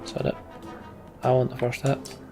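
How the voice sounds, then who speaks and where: A British man giving casual, wry game commentary as a let's play host, muttering to himself as he goes. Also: unhurried. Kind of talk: casual game commentary, reading on-screen story aloud. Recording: game music behind the voice. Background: video game music.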